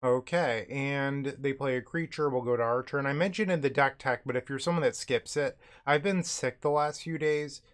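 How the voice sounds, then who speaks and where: A man's voice: medium pitch, moderate pace, few pauses. A man hosting casual card game commentary, his voice a bit cracky and unsteady as he recovers from being sick. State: recovering from illness, voice cracks and cuts in and out. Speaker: host, card game streamer.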